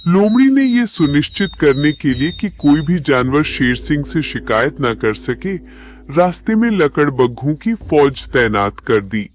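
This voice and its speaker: A man narrating an animated animal story in a scripted storytelling style. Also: a little high, unhurried.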